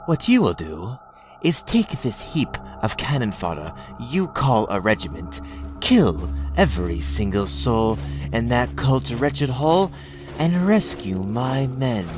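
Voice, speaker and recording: An actor playing a sly, mocking alien raider lord in an audio drama, talking with mock politeness and theatrical menace. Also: male voice, mid-range voice, big pitch swings, slow, over music. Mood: mocking, self-satisfied. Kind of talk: scripted audio drama acting.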